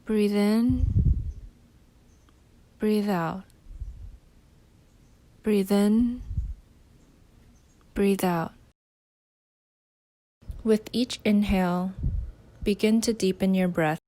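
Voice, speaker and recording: Slow-paced woman guiding meditation. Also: mid-range voice, steady tone, dark tone, dry recording. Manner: unhurried, with pauses between short phrases. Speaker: meditation guide. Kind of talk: guided meditation, gentle spoken instruction.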